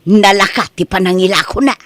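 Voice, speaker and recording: A woman's voice: medium pitch, steady tone, fairly quick, no room sound, natural voice. A woman with an Ilocano accent from the Philippines playing a scheming, outspoken middle-aged wife in a radio-style comedy drama, brash and argumentative. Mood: defiant.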